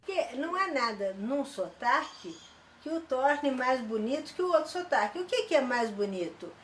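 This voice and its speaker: A woman's voice: high voice, big pitch swings, normal speed. An older woman with a Brazilian Portuguese accent, a retired linguistics professor, explaining emphatically in an interview. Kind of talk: interview answer. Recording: roomy interview sound with faint noise.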